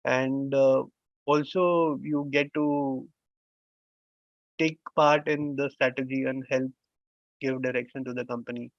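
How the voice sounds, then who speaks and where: A man hosting an interview who speaks haltingly, repeats words, and uses many "uh" fillers. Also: mid-range voice, flat delivery, no echo. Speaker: interview host. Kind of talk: conversational interview. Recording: dull, lo-fi sound.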